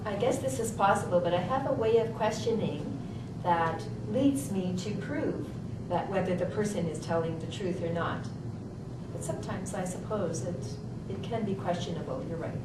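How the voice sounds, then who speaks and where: A woman in an amateur stage play, playing a composed psychologist who gives formal, measured testimony as an expert witness. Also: fairly low pitch, close to an everyday voice. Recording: echoey distant room recording, clear background noise.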